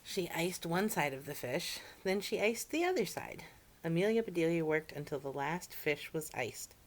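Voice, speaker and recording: Low-pitched woman reading children's stories. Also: big pitch swings, moderate pace, slight background noise. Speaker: children's story-time reader. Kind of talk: expressive picture-book reading with asides to children.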